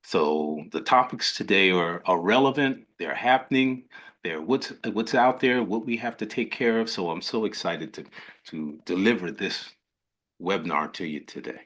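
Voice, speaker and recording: A man's voice: low-pitched, normal speed, fairly bright tone. Older male doctor-host, effusive, rambling, restarts his phrases. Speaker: host, physician. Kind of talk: webinar hosting.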